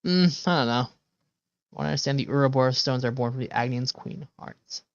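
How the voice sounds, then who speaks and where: A man's voice: medium pitch, brisk, gravelly, smooth, no room sound. A male game streamer with a mid-range voice chats casually. He rambles and hesitates as he thinks aloud. The sound is dry and a little dull. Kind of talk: casual livestream chat while playing.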